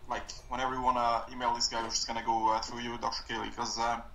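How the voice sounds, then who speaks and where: Man, student on a group call; creaky, even-toned, halting informal update over an echoey line. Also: medium pitch, slight background noise. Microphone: conference call.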